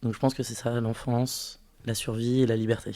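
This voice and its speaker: Flat-voiced young man, hesitant. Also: mid-range voice, no echo. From French Guiana. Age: 20s. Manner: hesitant, thinking aloud with many pauses. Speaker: podcast guest. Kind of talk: casual interview testimony.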